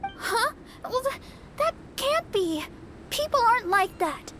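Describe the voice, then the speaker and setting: A trusting young church sister, very high-pitched, eager and stammering when flustered. A low rumble runs clearly underneath. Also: female voice, sing-song, slow pace, heavily acted. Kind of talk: video game cutscene dialogue.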